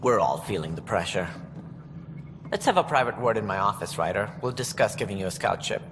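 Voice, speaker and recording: An actor playing a calculating, self-assured alien director in a video game, speaking in a measured, formal, condescending way. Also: male voice, on the low side, expressive, noisy background. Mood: diplomatic. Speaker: director of a space initiative. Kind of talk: video game cutscene acting.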